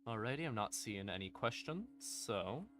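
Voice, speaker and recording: A man hosting a livestream, explaining lore casually and wryly, in halting phrases broken by pauses and emphatic stresses. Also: lowish voice, animated, a bit bright. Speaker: livestream host.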